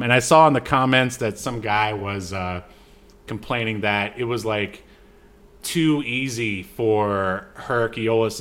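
A man's voice: fairly low pitch, a bit bright. A man co-hosting a podcast, giving a casual film recap in a conversational, hesitant way with trailing asides. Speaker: podcast co-host.

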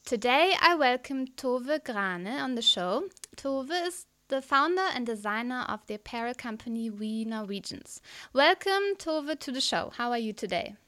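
A woman hosts a podcast interview over an online call, asking friendly questions. Her voice sits a little on the high side and stays easy and conversational. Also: expressive, normal speed, few pauses, a bit bright. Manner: friendly, scripted intro then conversational questions. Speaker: podcast host.

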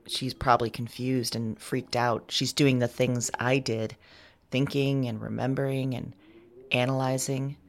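A woman performing an audio drama monologue as an anxious, confessional figure, halting and hushed, sometimes slipping into whispers. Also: rumbling low, little pitch movement. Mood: pensive, sympathetic. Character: anxious confessional woman recording her visions of a future woman.

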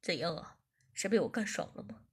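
A woman voicing a bossy, domineering man who taunts and gives orders in a lowered, husky voice. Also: expressive, fairly quick. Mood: taunting. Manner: taunting, commanding.

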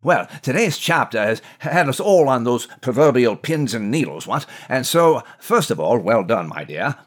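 Man playing a husky, courtly gentleman reporter. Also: mid-range voice, sing-song, moderate pace. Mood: courtly, upbeat. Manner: formal, flowery, old-fashioned. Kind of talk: scripted in-character interview.

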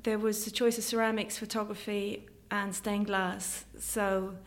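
Even-toned middle-aged woman, English-sounding accent. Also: mid-range voice, a little slow. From London, then Ireland from age thirteen. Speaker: guest, stained glass artist. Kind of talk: casual conversational interview answers.

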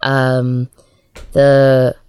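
A woman's voice: rumbling low, completely flat delivery, drawn-out words, dark tone, clear, resonant tone, no room sound. A woman chatting casually as an interview guest, a writer with a hesitant, self-deprecating manner.

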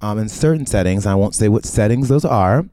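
A man hosting a casual podcast conversation, chatty and animated, with playful asides. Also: fairly low pitch, brisk, no echo. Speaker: podcast host.

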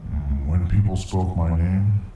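A man playing a grave, formal interdimensional being in an audio drama, speaking with slow, archaic deliberation. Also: low-pitched, dark tone, echoey room, slight background noise. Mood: solemn. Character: male nine-foot interdimensional void being, grave, solemn, ancient.

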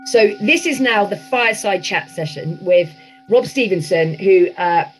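A woman organising a live event, introducing the speakers quickly and partly reading from her notes. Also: mid-range voice, lively intonation, faint music behind, rough recording.

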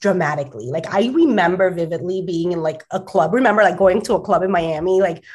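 A woman in her thirties talks casually as a podcast co-host. Her voice is raspy and hoarse from allergies and sits a little low. She speaks in a chatty way, full of "like". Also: animated, fairly quick, darkish tone. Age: 30s. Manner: chatty, lots of "like", laughs often.

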